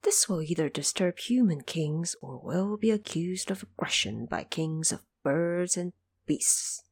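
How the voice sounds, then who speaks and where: A woman voicing a bold, shrewd male monkey leader who speaks deliberately and with command in an audio story. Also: fairly low pitch, expressive, a little mellow, breathy edge, exaggerated voice. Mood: thoughtful, concerned.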